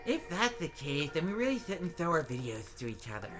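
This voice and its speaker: A woman's voice: low voice, sing-song, brisk. A woman playing a mischievous, wheedling teenage boy who lies, in an anime game fandub. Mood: sly, feigned sincerity. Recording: music playing behind.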